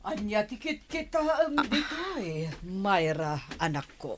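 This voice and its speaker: A woman's voice: medium pitch, big pitch swings, measured pace, background noise. A woman playing an anxious village mother who scolds and warns, in a radio drama. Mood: alarmed. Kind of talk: radio drama acting in Ilocano.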